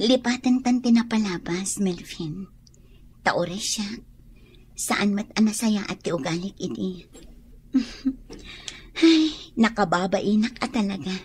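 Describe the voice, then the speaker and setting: An actress playing a wounded, guarded young mother with a breathy, emotional delivery in a radio drama. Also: mid-range voice, darkish tone. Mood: tender, embarrassed. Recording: noisy recording.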